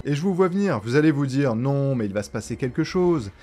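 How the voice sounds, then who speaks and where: A man's voice: medium pitch, lively intonation, fairly quick, background noise. A young man giving a scripted, irreverent recap commentary in a colloquial, sarcastic way, with music behind him. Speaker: video essayist narrator. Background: background music.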